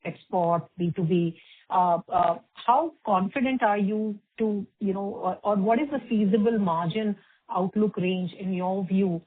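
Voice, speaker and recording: A woman's voice: medium pitch, unhurried, no echo. A woman with an Indian accent, an analyst putting questions on an earnings call in a polite, hesitant, wordy way. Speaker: financial analyst asking questions. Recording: dry narrowband phone line.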